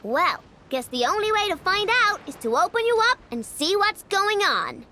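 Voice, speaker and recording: Game role: confident young girl tinkerer, very high, animated. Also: normal speed, a little hiss or hum, full character voice. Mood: curious, thoughtful. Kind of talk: video game voice acting. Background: game music.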